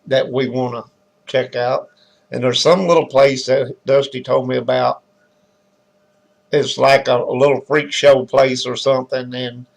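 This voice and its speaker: A hoarse, raspy man with a Southern US accent, hosting a live talk show in a loose, chatty way and greeting viewers by name. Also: mid-range voice, rough recording. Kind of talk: casual rambling live-stream chat.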